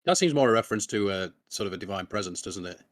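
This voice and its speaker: A man's voice: fairly low pitch, animated, fast talker, crowd noise. A man in a casual discussion, tentative and asking questions.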